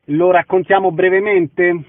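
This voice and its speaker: A man hosting a radio interview, introducing guests in a brisk, friendly way. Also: sits high, little pitch movement, no echo. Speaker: radio host. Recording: tinny line with clear background noise.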